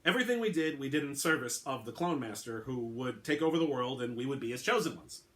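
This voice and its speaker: A man's voice: mid-range voice, animated, fast, fairly bright tone, natural voice. A man voicing an eccentric, oddly formal male clone who explains patiently and keeps correcting himself. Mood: explaining.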